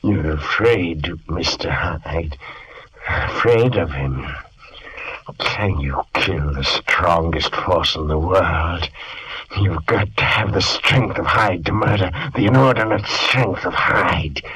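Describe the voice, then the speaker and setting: A man's voice: low voice, slow pace, husky, full character voice, poor audio. An actor playing a tormented Dr. Jekyll, an anguished man who pleads and argues with his darker self in 1940s radio style. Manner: anguished, pleading, shifting to a dark whisper.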